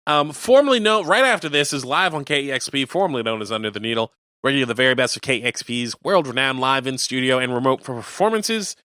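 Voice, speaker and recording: A man's voice: medium pitch, expressive, fast, few pauses, pushed and bright, no echo, clean studio sound. A man co-hosting community radio, chatting casually and reading out show listings. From Canada.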